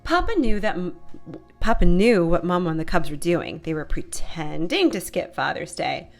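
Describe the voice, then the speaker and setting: A woman's voice: lowish voice, very animated, moderate pace, vocal fry. A woman narrating a children's picture book as a read-aloud storyteller. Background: music.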